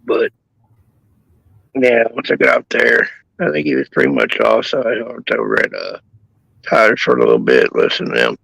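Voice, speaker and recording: A man's voice: extremely low pitch, huge pitch swings, moderate pace, pauses often, a bit bright, no room sound, rough recording. A man who is losing his voice, talking in casual crude banter as a caller on a live stream chat show, laid-back and rambling. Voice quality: gravelly, husky.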